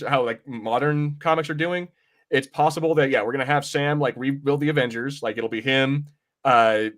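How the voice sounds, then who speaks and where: A man with a mid-range voice talks casually as a podcast co-host. His delivery is halting and rambling, with phrases repeated while he works out the thought. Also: mid-range voice, fairly bright tone. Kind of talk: casual film and comics discussion.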